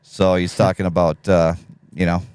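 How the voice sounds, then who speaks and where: A man with a deep radio voice hosting a casual live stream, reading chat messages aloud and chatting easily. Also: flat delivery, normal speed, a little mellow, clear, resonant tone, dry recording. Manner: laid-back, reads chat messages aloud, laughs often.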